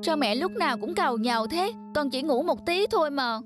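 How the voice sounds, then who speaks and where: A young woman plays a spoiled, cheerful cartoon daughter with a high voice. She whines and wheedles in a bubbly way. A clear music track runs underneath. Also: lively intonation, a little fast. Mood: whiny. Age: young adult. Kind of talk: cartoon dub acting. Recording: studio voice-over with background music.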